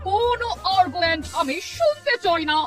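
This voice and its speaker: A woman plays a bossy, money-minded cartoon auntie. She speaks in a very high, animated voice with a scolding, theatrical edge, over loud music. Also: normal speed. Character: bossy, money-minded aunt and daughter-in-law. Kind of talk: exaggerated Bengali cartoon dialogue.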